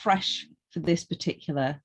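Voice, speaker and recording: A woman's voice: low voice, slightly rough. A woman co-hosting a podcast, chatting playfully and reading out listener questions. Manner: playful, quick to laugh, reads questions aloud. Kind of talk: casual conversational chat.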